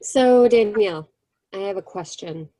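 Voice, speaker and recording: A woman's voice: mid-range voice, a little slow, dark tone, natural voice. Woman playing Samantha, catty teen girl. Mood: mocking. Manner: catty, mocking. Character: catty teenage girl, bully's friend.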